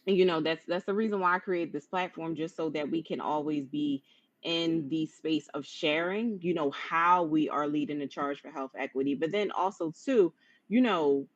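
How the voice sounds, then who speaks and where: A woman with a low-pitched voice hosting a conversational interview in an encouraging, affirming manner. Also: normal speed, dry recording. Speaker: interview host. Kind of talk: conversational live-streamed interview. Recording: dull lo-fi online stream audio.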